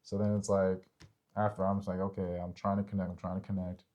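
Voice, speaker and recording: A young man with a deep, mellow voice that stays fairly flat and dips into fry. He co-hosts a casual podcast on relationships. He lays points out step by step and keeps checking that the listener follows. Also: quick pace, muffled recording. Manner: conversational, explains step by step, seeks agreement. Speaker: podcast co-host.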